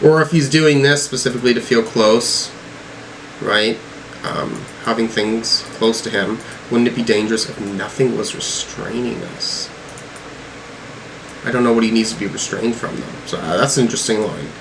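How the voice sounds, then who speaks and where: A man giving casual music reaction commentary, halting as he thinks aloud. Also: mid-range voice, background noise. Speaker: music reviewer reacting to songs.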